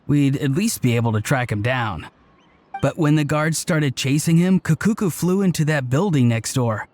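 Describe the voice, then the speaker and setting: A man's voice: mid-range voice, natural voice. An actor playing an upbeat, loyal, playful young man with a breezy, earnest manner in a video game. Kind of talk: video game cutscene voice acting.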